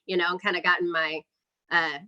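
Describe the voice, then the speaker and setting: A woman's voice: on the low side, level tone, fairly quick, pushed and bright, dull audio. A woman speaking casually and chattily as an interview guest, explaining her work as an independent filmmaker.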